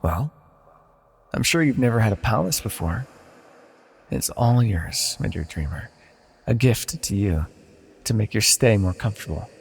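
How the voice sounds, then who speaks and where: Casting: male actor as ancient villain sorcerer for fantasy roleplay audio. Low, gravelly, formal, possessively tender, condescending, drenched in reverb. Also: expressive, measured pace, pauses often. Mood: proud, smug. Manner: soft-spoken, condescending, theatrically formal. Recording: long hall-like reverb.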